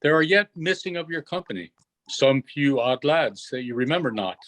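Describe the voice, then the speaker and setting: A man playing a dignified sorcerer duke in a Shakespeare reading, measured and formal. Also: on the high side, expressive, fairly bright tone. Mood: reassuring, commanding. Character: commanding, dignified sorcerer duke reciting verse. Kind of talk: unrehearsed Shakespeare play reading.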